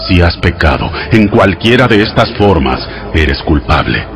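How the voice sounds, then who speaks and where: God in an audio bible drama, low voice, solemn and commanding, measured, music behind. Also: male voice, expressive. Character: God giving solemn laws to Moses, commanding, authoritative.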